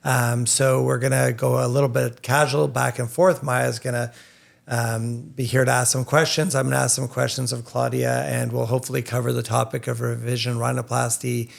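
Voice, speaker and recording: A man speaking as a surgeon hosting a casual podcast, explaining things in a conversational, slightly halting way. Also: mid-range voice, little pitch movement, gravelly.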